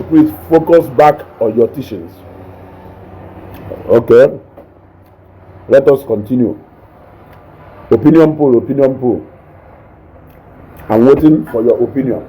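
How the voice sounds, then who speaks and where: A man with a Nigerian accent and a naturally loud voice, hosting a casual live stream, reading viewer comments and mixing English with Igbo while he eats. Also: medium pitch, rough recording. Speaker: online show host reading viewer comments.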